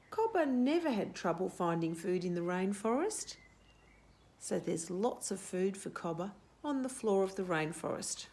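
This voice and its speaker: A woman's voice: mid-range voice, a little mellow, a little hiss or hum. A woman reading a picture book aloud for young children, explaining simply and asking questions as she goes. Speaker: children's storyteller.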